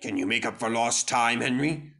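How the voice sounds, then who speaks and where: A man's voice: mid-range voice, moderate pace, vocal fry, sharp tone, faint music behind. Man as a stern cartoon railway boss. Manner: stern, authoritative. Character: stern male railway controller, crossly giving orders. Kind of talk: children's cartoon fan dub.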